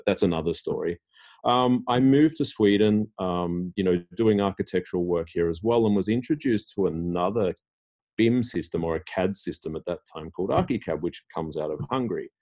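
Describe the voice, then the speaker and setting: A very low-pitched middle-aged man, a guest from Australia talking through his career in a podcast interview. His tone is dark and mellow, and he rambles with lots of fillers and self-restarts. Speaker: tech executive guest. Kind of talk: casual interview talk.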